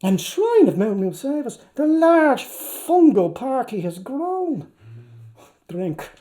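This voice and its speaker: Irish guy reading literature out loud like theatre, high voice for a man, mellow, takes his time, playful and dramatic. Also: very animated. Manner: declamatory, dramatic, playful delivery with drawn-out words. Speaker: actor reading aloud.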